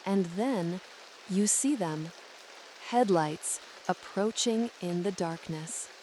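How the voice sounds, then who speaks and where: A woman narrating a guided sleep meditation in a soothing, measured and deliberate way. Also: on the low side, darkish tone, a little hiss or hum, poor audio.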